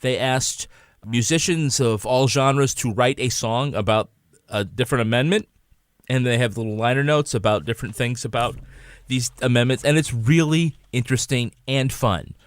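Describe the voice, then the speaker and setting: A man's voice: mid-range voice, normal speed, no room sound. A man speaking as a panelist in a casual podcast discussion.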